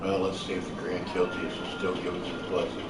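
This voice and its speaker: A man's voice: sits low, monotone, fairly quick. A man casually commentating a game he is playing, muttering wryly to himself as he streams. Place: room at home while live-streaming a console game. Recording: echoey room, distant mic, noisy background.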